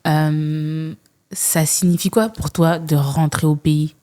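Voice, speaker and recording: A woman's voice: low-pitched, little pitch movement, takes it slowly, mellow. A woman hosting a casual podcast interview, attentive, giving brief affirmations and asking questions a little hesitantly. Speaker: podcast host.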